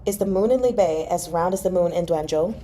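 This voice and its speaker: A woman's voice: on the low side, fairly quick, warm tone, lightly acted. A woman reading the part of a reserved, dutiful young male imperial official from a novel. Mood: wistful. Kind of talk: expressive audiobook-style novel reading.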